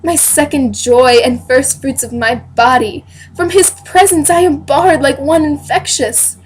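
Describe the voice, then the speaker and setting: A young woman playing a wronged, dignified queen who defends her honour in deliberate, declamatory Shakespearean verse. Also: high-pitched, steady tone. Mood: wounded, defiant. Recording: noisy amateur home recording.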